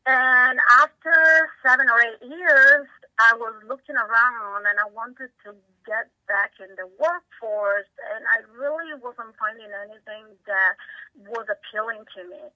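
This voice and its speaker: A woman's voice: a little high, a little slow, dry recording, highs cut off. A middle-aged woman from Italy with an Italian accent, answering conversationally as an interview guest. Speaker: interview guest, company founder.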